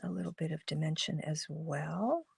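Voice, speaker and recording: A woman hosting a live card-making stream, chatting casually and thinking aloud as she colors, with a slightly hoarse, froggy voice. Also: low-pitched, moderate pace, no room sound. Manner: chatty, thinks aloud while coloring, greets viewers by name. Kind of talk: casual live crafting demo talking to viewers.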